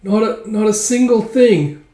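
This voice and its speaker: A man's voice: high-pitched, unhurried, warm tone, pitched above the performer's own voice. A man voicing a small, helpless caged elf in a fretful, plaintive manner. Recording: echoey room.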